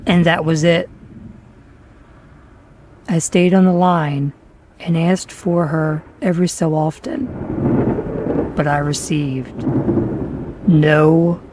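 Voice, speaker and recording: A woman giving a slow, somber first-person horror story narration. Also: sits low, steady tone, a little mellow, slight background noise.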